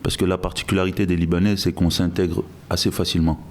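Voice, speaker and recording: A man who grew up in Guinea, speaking as a guest in a podcast interview in a measured, reflective way with frequent restarts. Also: low voice, level tone, slight background noise. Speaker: guest, logistics director.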